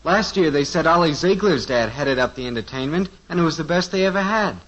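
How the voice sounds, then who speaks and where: A man's voice: a little high, animated, a little fast, a bit bright. An actor playing an eager, scheming teenage son in a 1950s radio sitcom, earnest and breezy, fumbling his words. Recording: dull lo-fi old radio transcription.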